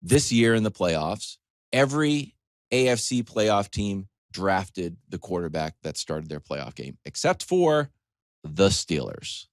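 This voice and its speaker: Animated, lower-voiced man doing sports monologue. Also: slow pace, dry recording, studio quality. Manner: conversational, acts out imagined questions and asides. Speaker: sports talk show host.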